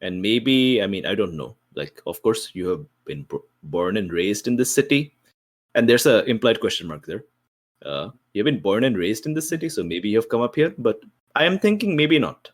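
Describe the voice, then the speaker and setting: A man with a fairly low voice and very animated intonation talks as a player in a tabletop game. He is casual and halting, working out ideas as he speaks.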